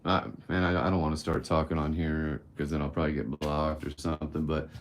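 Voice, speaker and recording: A man's voice: rumbling low, level tone, fast, a little hiss or hum. A man around fifty hosting a casual live-stream Q&A, candid and blunt, rambling and swearing casually. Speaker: livestream host answering viewer questions.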